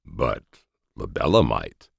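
A man's voice: very deep voice, big pitch swings, slow pace, gravelly, slightly rough, no room sound, heavily acted. A man voicing a wry scout in an audiobook, needling an old friend with dry understatement. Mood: mock humble. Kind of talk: audiobook character voice.

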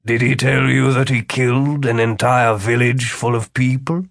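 Man acting a bitter, vengeful wizard, animated. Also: medium pitch, gravelly, husky, exaggerated voice. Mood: dry accusation. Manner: emphatic, deliberate, dry. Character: bitter, vengeful male wizard, dryly sneering.

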